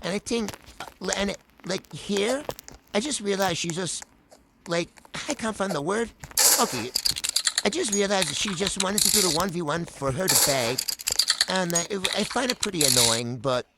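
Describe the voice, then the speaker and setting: A speaker with a high-pitched voice giving casual, rambling voice-over commentary on their own gameplay, full of fillers. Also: lively intonation, normal speed, heavy background noise.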